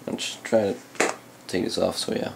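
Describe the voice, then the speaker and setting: A man whose first language is Dutch, hosting a casual unboxing of computer case parts, halting, with many ums and uhs. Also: heavy background noise. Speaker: channel host reviewing PC parts. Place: indoors at a desk, hands on camera.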